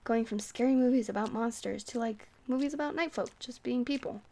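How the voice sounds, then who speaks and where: A woman playing a friendly vampire bartender who rambles gently and whimsically in an ASMR roleplay. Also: fairly high pitch, a little fast, lightly acted. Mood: earnest, warm.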